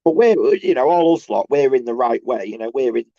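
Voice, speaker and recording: A man with a croaky, creaking voice worn by a cold and tiredness talks off the cuff to his viewers. His tone is mellow and dark, and he often stumbles, repeats himself and swears as he rants. The sound is dull and lo-fi, with little room around it. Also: medium pitch, expressive, no room sound. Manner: halting, repetitive, searching for words. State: sore throat from a cold. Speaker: solo video host. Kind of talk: casual rambling monologue to camera.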